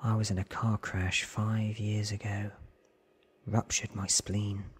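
A man voicing a candid, careful, sickly young man in a hushed, earnest ASMR storybook reading. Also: lowish voice, little pitch movement, slow, exaggerated voice. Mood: candid, resigned. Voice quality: breathy.

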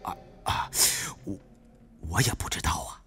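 A man's voice: normal speed, sharp tone, faint music behind, close to an everyday voice. A man voicing an earnest, inquisitive young male adventurer in an audiobook. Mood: bewildered.

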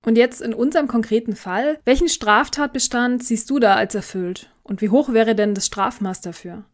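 A woman's voice: a little high, normal speed. A woman hosting a podcast, moderating an interview with prepared questions and introductions. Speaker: podcast host.

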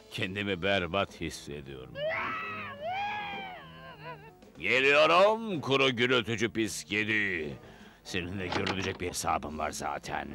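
A man's voice: on the low side, vocal fry. A man playing a grumpy, scheming middle-aged evil wizard in a cartoon dub, whining and ranting theatrically. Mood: alarmed, angry. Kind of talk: animated cartoon dub, theatrical villain acting. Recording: loud cartoon music behind.

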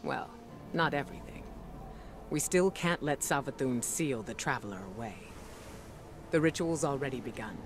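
A resolute video game commander, lays out a mission with earnest urgency. The delivery is deliberate and determined, over prominent music. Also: female voice, rumbling low, lively intonation. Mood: urgent resolve.

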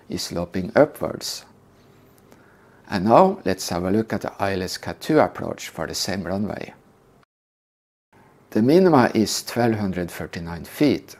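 An older man calmly teaching a scripted lesson on flying approaches. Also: deep voice. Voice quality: calm, measured explanation. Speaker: flight instructor. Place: indoor room.

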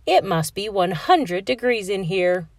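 A woman's voice: mid-range voice, very animated, a little slow, no room sound. A woman voicing a cheerful, encouraging female flamingo schoolteacher in a children's storybook. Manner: bright, encouraging teacherly exclamations.

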